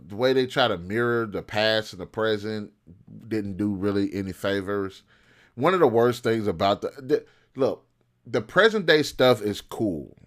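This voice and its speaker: A man hosting a film review show, giving casual, opinionated commentary in an informal, slangy, blunt way. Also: on the low side.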